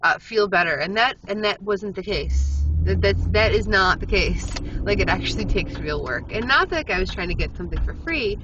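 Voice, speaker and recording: A woman's voice: medium pitch, normal speed, few pauses. A woman with a sniffly head cold, talking in a rambling, candid video diary full of self-corrections. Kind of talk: casual confessional video diary. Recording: dull lo-fi audio with steady background noise.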